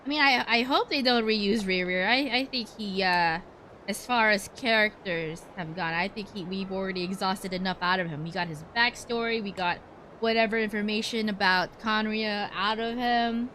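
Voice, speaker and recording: A woman's voice: mid-range voice, moderate pace, pushed and bright, slight background noise. A woman giving casual, rambling live commentary as she plays a video game, chatty and slangy. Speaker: streamer playing a video game. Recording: game music behind voice.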